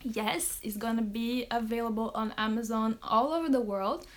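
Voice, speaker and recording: A woman's voice: a little high, moderate pace. Chatty Brazilian woman author on a live stream. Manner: chatty, laughs often, many fillers and restarts. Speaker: romance author. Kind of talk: casual live Q&A with fans. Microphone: phone on a social media live stream.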